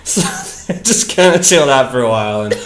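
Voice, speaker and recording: A man's voice: medium pitch, lively intonation, a little fast, roomy sound, slight background noise. A man giving a mocking dramatic reading with casual, amused, sarcastic commentary. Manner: amused, sarcastic, often breaking into giggles. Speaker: commentary show host.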